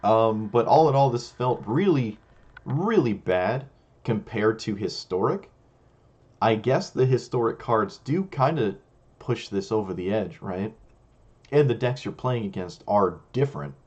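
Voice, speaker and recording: Young male streamer, low voice, hoarse and fading, muttering self-critical game commentary on a dull lo-fi mic. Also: expressive, unhurried, a little mellow. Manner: musing, self-critical, talks to himself. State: losing his voice, hoarse. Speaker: streamer playing a card game. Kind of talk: casual gameplay commentary.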